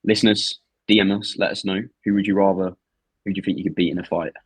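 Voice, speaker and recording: A man's voice: low voice, fairly even intonation, quick pace, slightly rough, no room sound, rough recording. A man in his twenties co-hosting a podcast, teasing his co-host and reading out listener questions. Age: 20s. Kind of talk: casual chat.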